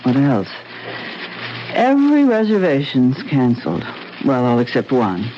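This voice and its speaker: An actress playing the worried, earnest wife of a lodge owner in a vintage radio mystery. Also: female voice, extremely low pitch, wildly swooping pitch, unhurried, heavily acted. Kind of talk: vintage radio drama acting. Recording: dull old radio broadcast with faint hiss.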